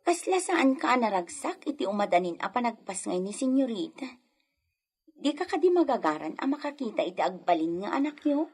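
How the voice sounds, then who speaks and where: Gossipy maid for Ilocano radio drama, woman acting: raised pitch, rough-edged husky tone, deferential but prying. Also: sing-song, a little fast, a little mellow, heavily acted. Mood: probing, suspicious. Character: gossipy, probing female household servant.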